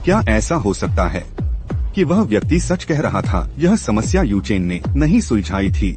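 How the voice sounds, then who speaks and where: A man plays a father who gives short, commanding orders. His pitch still moves a lot, and clear music plays behind him. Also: big pitch swings, normal speed. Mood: curt. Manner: curt, commanding. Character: curt older father, commanding.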